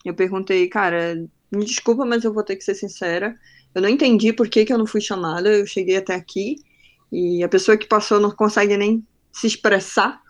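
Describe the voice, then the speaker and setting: A woman's voice: medium pitch, fairly even intonation, a little fast. A woman from northern Brazil with a faint northern Brazilian accent, a guest on a podcast who tells her stories in casual talk and acts out the people she quotes. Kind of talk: casual interview chat.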